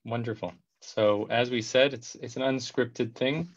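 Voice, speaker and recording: A man in his thirties hosting a video call interview, warm and effusive, often repeating words as he talks, speaking through a headset. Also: medium pitch, steady tone, unhurried. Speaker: host. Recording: dull, dry video call audio.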